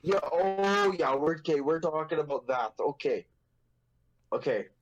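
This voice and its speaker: A man's voice: a little high, expressive, unhurried, muffled recording, a little hiss or hum. A young man hosting a casual podcast, telling a story in a rambling, profane, joking way. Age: young adult. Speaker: podcast host.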